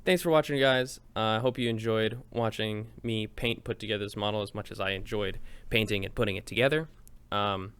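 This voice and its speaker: A man's voice: on the low side, brisk, fairly bright tone, smooth. A man narrating a step-by-step tutorial in an informal, chatty, explanatory way. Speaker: host of a miniature painting tutorial.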